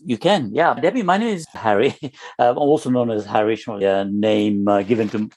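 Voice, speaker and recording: Guest: older man from East Africa, 70s, low voice, hesitant and easygoing. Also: moderate pace, a little mellow. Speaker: podcast guest, author.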